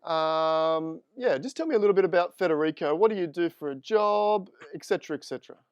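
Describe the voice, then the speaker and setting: A man's voice: fairly high pitch, fairly quick, smooth, dry recording, highs cut off. Upbeat Australian man hosting a live stream. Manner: chatty, upbeat and informal. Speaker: host. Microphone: desk microphone.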